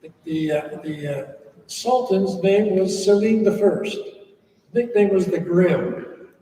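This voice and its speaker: A man's voice: sits high, takes it slowly, mellow. An older man, a monk, giving an informal talk to an audience and telling stories with humorous asides. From United States. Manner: chatty, storytelling with humorous asides. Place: church hall. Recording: echoey hall, voice from far off.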